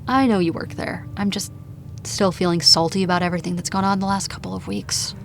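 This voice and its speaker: A woman's voice: fairly low pitch, fairly even intonation, fairly quick, creaky, a little hiss or hum. An actress playing a blunt, wry young woman in a naturalistic audio drama, with casual, sweary delivery. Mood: salty, sarcastic.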